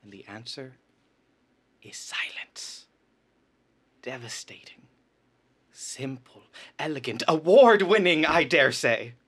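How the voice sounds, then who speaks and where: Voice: medium pitch, sing-song, slow pace, pauses often, fairly bright tone, slightly rough, heavily acted. A performer playing a pompous, tyrannical theater director in a comedic radio play, declaiming grandly and with exasperation. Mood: grandiose, reverent.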